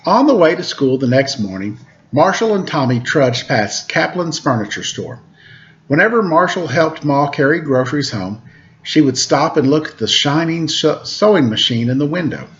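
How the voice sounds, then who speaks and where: A man's voice: a little high, animated, moderate pace, muffled recording, a little hiss or hum. A man reading a storybook aloud like a teacher, with casual asides.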